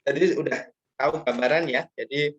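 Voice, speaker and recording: A man's voice: a little high, normal speed, breathy edge, lo-fi sound. A man teaching an online class, speaking casually and guiding students with rhetorical questions. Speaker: lecturer. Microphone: video call.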